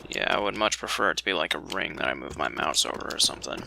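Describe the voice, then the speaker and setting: A man's voice: extremely low pitch, expressive, fast, gravelly. A man giving casual, rambling commentary as he records a video game let's play. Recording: faint background noise.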